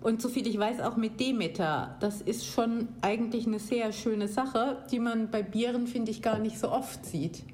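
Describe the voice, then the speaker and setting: A woman's voice: on the high side, normal speed, few pauses, a little mellow. A woman from Germany, co-hosting a podcast and chatting with enthusiasm. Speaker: podcast co-host. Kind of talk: casual podcast chat.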